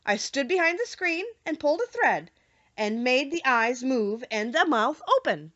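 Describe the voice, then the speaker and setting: A woman's voice: high-pitched, very animated, normal speed, a bit bright, highs cut off. A woman voicing a little old humbug wizard, sorrowful and confessing, in earnest audiobook storytelling. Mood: boastful. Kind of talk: audiobook character voice.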